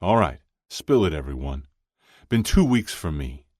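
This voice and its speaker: Audiobook character, male narrator acting: hearty big guy, booming and commanding, animated delivery, dry recording. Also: measured pace, dry recording, heavily acted. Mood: hearty, bossy. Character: big jovial man with a booming, happy voice.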